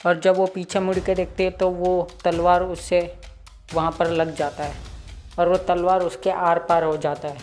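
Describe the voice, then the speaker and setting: A teenage boy with a sore, slightly hoarse throat, talking casually to his viewers and retelling a cartoon plot. He leans on chatty fillers as he goes. Faint music plays underneath. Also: high voice, a little mellow. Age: late teens. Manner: chatty, full of "matlab" fillers. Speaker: YouTube channel host. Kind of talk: casual cartoon episode recap.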